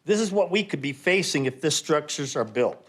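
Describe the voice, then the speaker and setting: Loud, indignant man at the podium. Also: a little high, animated, moderate pace. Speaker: public commenter.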